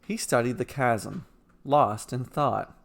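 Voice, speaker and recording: A man reading a scripted fantasy short story as a storyteller, with dramatic, deliberate phrasing. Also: mid-range voice, gravelly.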